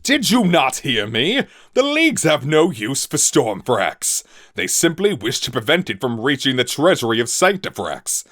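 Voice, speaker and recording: A man's voice: medium pitch, very animated, normal speed, no room sound, clean studio sound, pitched above the performer's own voice. A man voicing a proud sky-ship captain who bellows and scoffs at a mutineer. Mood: angry commanding. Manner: loud, scornful and commanding.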